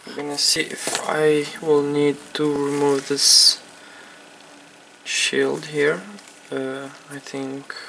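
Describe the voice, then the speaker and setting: Low, flat-voiced Ukrainian-accented woman. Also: gravelly, slight background noise, rough recording. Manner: halting, repetitive phrasing in non-native English. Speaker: phone repair technician presenting a tutorial. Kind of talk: unscripted hands-on repair tutorial.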